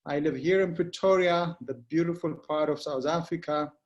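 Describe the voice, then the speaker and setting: A man's voice: a little high, a little mellow, muffled recording. A middle-aged man from Ethiopia, with an Ethiopian accent and English as a second language, giving an earnest motivational talk that halts and restarts often. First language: not English. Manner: earnest, halting with frequent restarts. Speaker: guest speaker. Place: Pretoria home.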